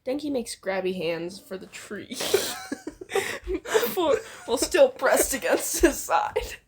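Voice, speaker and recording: A woman's voice: a little high, sing-song, slow, a little hiss or hum. A young woman casually reading fan fiction aloud, playfully acting out the dialogue and bantering. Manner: playful, acts out dialogue with exclamations. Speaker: amateur fan fiction reader and co-host.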